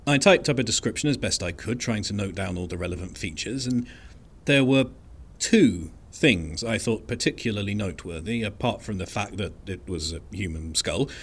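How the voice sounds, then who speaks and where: A man playing an unassuming, wry and resigned archivist in an audio drama monologue, speaking in a dry, understated, conversational way with hesitant pauses. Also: lowish voice, lively intonation, breathy edge. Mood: matter-of-fact, wry. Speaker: narrator of an audio fiction podcast.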